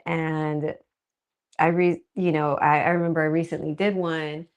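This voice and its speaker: A woman with a soft voice, a guest actress chatting casually and laughing often. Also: sits low, little pitch movement, moderate pace. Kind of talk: casual interview chat.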